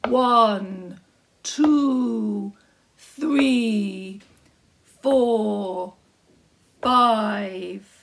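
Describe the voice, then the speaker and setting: A huge storybook giant, acted out by a woman, loud and menacing. The words come slowly, drawn out and booming, with a slightly husky edge and a big hungry appetite. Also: heavily acted. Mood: counting greedily. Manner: slow, drawn-out booming chant.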